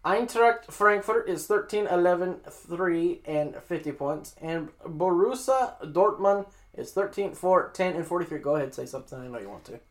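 A man's voice: sits high, animated, normal speed, few pauses. Man joking through sports standings. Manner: joking, self-mocking, reading scores. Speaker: sports show host. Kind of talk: casual standings rundown.

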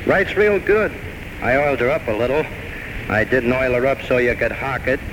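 A folksy, plain-spoken barman, played by a man in old radio drama. He talks colloquially at middling pitch, with clear broadcast noise. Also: moderate pace, natural voice. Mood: gruffly kind. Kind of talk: 1940s radio drama acting.

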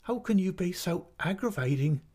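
A man's voice: on the high side, fairly quick, mellow, pitched above the performer's own voice, close to an everyday voice. A man voicing a petulant, self-pitying young mother in a storybook reading, with plaintive, dramatic protests. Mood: tearful indignation.

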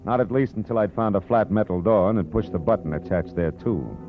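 An actor playing a dry, wisecracking private detective who also narrates, in 1940s radio acting. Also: male voice, fairly low pitch, fairly quick, lightly acted. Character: hard-boiled male private eye, dry and wry. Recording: tinny old radio broadcast with music.

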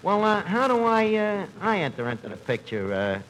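Old-time radio comedy: folksy, gullible tavern pal, high-pitched and lively, noisy vintage recording. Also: male voice, normal speed. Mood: eager. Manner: folksy, mangled colloquial speech.

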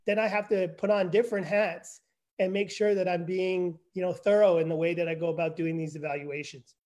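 Fifties guy w a really high voice, chatty n self-deprecating, on a video call. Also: steady tone, brisk, darkish tone. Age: 50s. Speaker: guest, forensic evaluator. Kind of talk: casual conversation.

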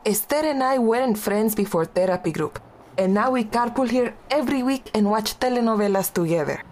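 A woman's voice: fairly high pitch, expressive, a little fast, close to an everyday voice. A woman playing a teasing older widow. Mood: wry. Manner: mixes Spanish endearments into warm teasing talk. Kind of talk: audio drama acting.

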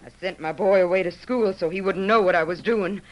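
A woman's voice: on the low side, fairly quick, highs cut off, natural voice. An actress playing a resolute middle-aged widowed mother, speaking earnestly and dramatically in an old-time radio western. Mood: solemn, resolute. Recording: vintage radio broadcast recording.

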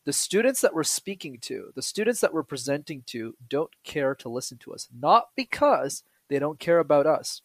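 A young man from Canada, a guest on a podcast, explaining public speaking in a lively, joking way. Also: a little high, moderate pace, hardly any pauses, dry recording. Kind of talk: casual podcast interview.

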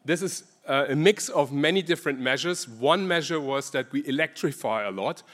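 A man with a German accent giving a conference talk on energy research, pausing often with ums and restarting phrases. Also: fairly high pitch, fairly bright tone. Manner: hesitant, many ums and restarts.

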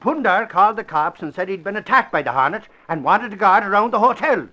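An excitable, blunt lawman from a 1940s radio play. His voice is high and bright, and it swings widely in pitch. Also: a little fast, lo-fi sound. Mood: excited. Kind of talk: 1940s radio drama acting.

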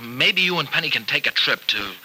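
A man's voice: mid-range voice, lively intonation, fairly quick, bright, forceful tone, husky, exaggerated voice, low-quality recording. An actor in an old-time radio soap opera playing a folksy, generous American farmer who hesitates earnestly as he worries over an injured friend. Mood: earnest, hopeful. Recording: vintage radio drama recording.

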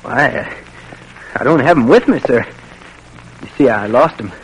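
A young man playing a polite, easygoing army private in an old radio drama, with a folksy manner and husky voice. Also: mid-range voice, animated, normal speed, low-quality recording. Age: young adult.

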